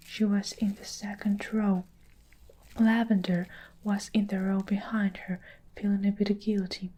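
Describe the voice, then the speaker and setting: A woman reading a story aloud in a hushed whisper, slow and soft. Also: medium pitch, a little hiss or hum, rough recording.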